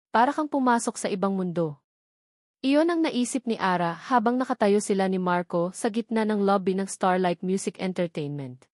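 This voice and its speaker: A woman's voice: medium pitch, darkish tone, dry recording. Woman narrating a love story, dry sound.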